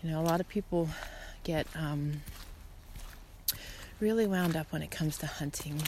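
Low-pitched woman podcasting over noticeable noise. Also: measured pace, dark tone. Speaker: podcast host. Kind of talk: casual solo podcast monologue. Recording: clearly noisy background, some clicks.

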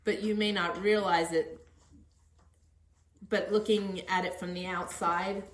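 A woman's voice: mid-range voice, steady tone, a little slow, slight background noise. A middle-aged woman hosting a conversational podcast interview in an earnest, encouraging manner. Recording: roomy, echoey sound.

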